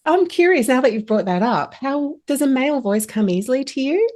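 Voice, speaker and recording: A woman's voice: high-pitched, expressive, fairly quick, a little mellow. A woman, speaking as a podcast host and interviewer. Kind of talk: scripted introduction and friendly interview chat.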